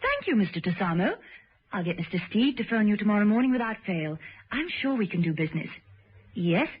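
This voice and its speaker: An actress playing a polite, self-assured female agent in a vintage radio drama, brisk and businesslike with a breathy, husky voice. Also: medium pitch, big pitch swings. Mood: brisk, courteous. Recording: muffled old radio broadcast recording.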